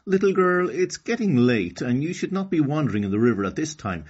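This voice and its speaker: A big, kindly talking fish from a storybook, acted by a man with an Irish accent. It advises and cautions deliberately in a husky, lively voice, on slightly dull audio. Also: on the high side, pitched above the performer's own voice. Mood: concerned.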